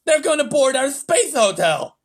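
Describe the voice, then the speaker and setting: A man doing a shouting excitable president. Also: high voice, measured pace, voice pushed up. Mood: excited. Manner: shouting, eager. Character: excitable bossy male president.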